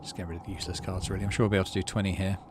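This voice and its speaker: A man hosting a gaming video, casually musing to himself in a low-key way and reading story text aloud as he plays. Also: little pitch movement, racing, darkish tone, slight background noise.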